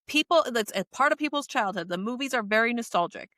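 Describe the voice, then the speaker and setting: Bright-voiced American woman chatting emphatically. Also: fairly high pitch, animated, fast talker, dry recording, clean studio sound. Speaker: podcast co-host. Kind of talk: casual chat.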